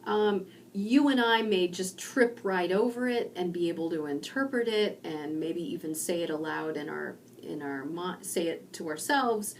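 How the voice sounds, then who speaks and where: A middle-aged woman from rural Indiana giving a recorded online lecture from her home study, explaining slowly with pauses. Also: fairly low pitch, far from the mic, slight background noise. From rural Indiana, USA. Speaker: university lecturer.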